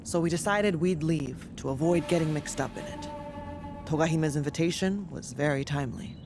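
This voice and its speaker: Casting: male actor as video game crew boss, a travelling master carpenter, higher-pitched, businesslike and candid, with music underneath. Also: normal speed, close to an everyday voice. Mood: earnest. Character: brisk foreign-born master carpenter, leader of a travelling crew. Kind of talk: video game cutscene acting.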